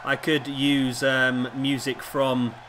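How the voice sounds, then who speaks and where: A hoarse man with a sore throat giving casual play-by-play commentary as host of a wrestling game video. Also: mid-range voice, little pitch movement, slow. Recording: clearly noisy home recording.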